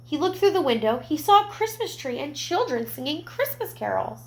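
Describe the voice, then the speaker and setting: Higher-voiced woman reading to young children. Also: moderate pace. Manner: expressive, gentle explaining to young children. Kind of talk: picture-book read-aloud with asides to listeners.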